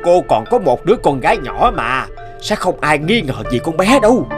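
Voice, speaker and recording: A man's voice: on the high side, big pitch swings, normal speed, music in the background. A man playing a scheming burly smuggler in a cartoon, sly, cynical and persuasive. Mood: coaxing. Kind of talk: dubbed cartoon acting.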